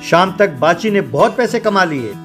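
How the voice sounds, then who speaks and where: A man giving scripted narration of a comic animated story. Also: sits high, moderate pace, faint music behind.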